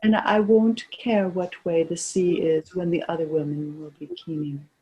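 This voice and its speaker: A woman's voice: on the low side, steady tone, moderate pace, dark tone, lo-fi sound, lightly acted. A woman playing a grieving, weary old mother in a lyrical, lamenting stage drama performance. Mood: weary resignation.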